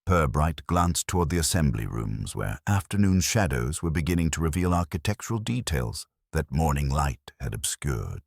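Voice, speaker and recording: A man reading a detective story as scripted audiobook narration, in a measured, formal, literary manner. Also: extremely low pitch, sing-song, gravelly, husky, dry recording, studio quality.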